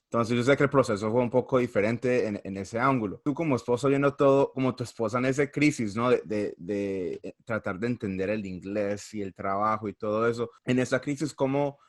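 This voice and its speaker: A man's voice: medium pitch, fairly quick, hardly any pauses. A man hosting a casual interview show, mixing English phrases into his Spanish. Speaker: talk show host.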